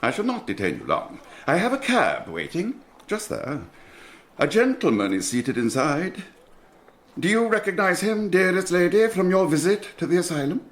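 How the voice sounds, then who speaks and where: An actor playing a suave, courtly gentleman villain in an audio drama, florid and theatrical, with menace under the courtesy. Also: high-pitched, sing-song, moderate pace. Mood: smug politeness.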